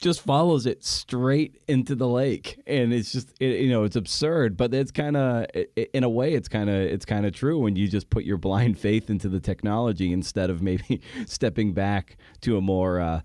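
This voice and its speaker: A man hosting a podcast interview in a casual, chatty way, with halting false starts and repeated words. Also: lowish voice, warm tone, no room sound.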